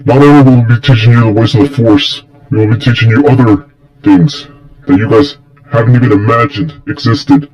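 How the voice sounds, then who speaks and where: A man voicing a stern, confident dark-lord mentor in an amateur fan story, coaching in a blunt, matter-of-fact way. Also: medium pitch, brisk, muffled recording, natural voice.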